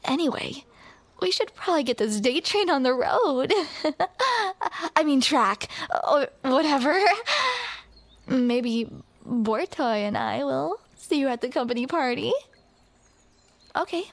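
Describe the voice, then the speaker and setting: High, slow-talking flustered young woman. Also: lively intonation, no room sound. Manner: flustered, awkward and playful, laughing often. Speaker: audio roleplay performer. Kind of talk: scripted romantic comedy audio roleplay.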